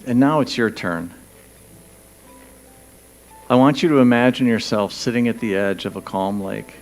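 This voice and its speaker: A man leading a guided meditation, speaking gently and soothingly in short, spaced phrases with pauses. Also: mid-range voice, low-quality recording. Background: background music.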